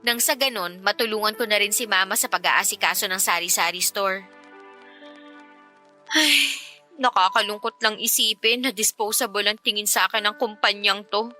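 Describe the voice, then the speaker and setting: A woman's voice: medium pitch, normal speed, bright, forceful tone, faint music behind, natural voice. A woman playing a self-sacrificing young working woman in a Tagalog radio drama, earnest, emotional and firm. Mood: sad, resigned.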